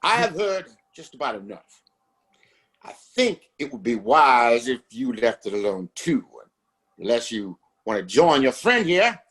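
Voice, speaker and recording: A man playing a domineering, self-righteous father who runs a Western town, in an audio drama, with formal, imperious speech and a husky voice. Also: medium pitch, sing-song, measured pace, fairly bright tone, full character voice. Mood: stern, imperious.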